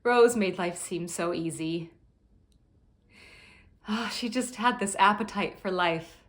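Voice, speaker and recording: An actress plays a young woman grieving her best friend in a funeral speech. She is reflective and tender, speaking haltingly at a medium pitch in a stage monologue style. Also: close to an everyday voice. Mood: subdued grief.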